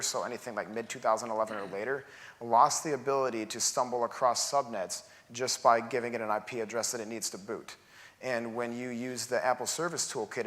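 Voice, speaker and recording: Methodical man presenting on a stage. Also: mid-range voice. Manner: explanatory, steady, methodical. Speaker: conference presenter. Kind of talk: technical Q&A answers. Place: large theater stage.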